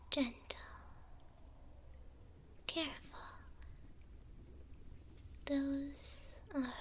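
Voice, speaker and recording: A woman playing a gentle, hopeful imprisoned elf in an ASMR roleplay, speaking tenderly in a soft, breathy whisper close to the mic with pauses between short phrases. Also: high voice. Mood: gentle, modest. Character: gentle, hopeful female elf prisoner in a dungeon. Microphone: close binaural microphone.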